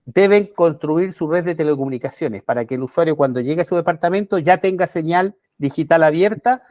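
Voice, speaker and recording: Chilean man, slightly high voice, dry close recording, halting delivery. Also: darkish tone, no echo. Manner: halting, restarts words. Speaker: podcast co-host. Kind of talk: casual chat.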